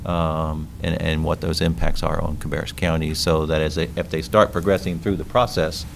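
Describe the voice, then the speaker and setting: A man with a very deep, gravelly voice introduces a presentation at a county meeting. He rambles and restarts his sentences often. Faint room noise sits beneath him. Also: level tone, normal speed. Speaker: county official.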